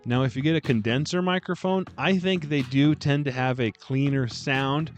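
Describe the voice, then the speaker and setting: A man with a deep voice giving casual, self-deprecating vlog tips at home, talking close into a dynamic microphone. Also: normal speed, dry recording. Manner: chatty, self-deprecating, animated. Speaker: booktube vlogger. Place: home room lined with bookshelves.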